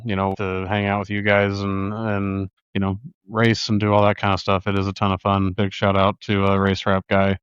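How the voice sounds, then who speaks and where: A man's voice: on the low side, a bit bright, smooth, no echo. A man co-hosting a podcast in casual, unscripted talk. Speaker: podcast co-host. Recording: slightly dull, dry audio.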